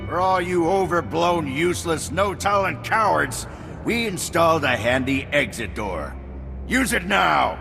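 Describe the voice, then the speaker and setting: A man's voice: a little high, big pitch swings, measured pace, gravelly, full character voice. An actor playing a scornful male hunt announcer who barks orders at a crowd of hunters, in a game cutscene. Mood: contemptuous. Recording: game audio, long reverb, music behind.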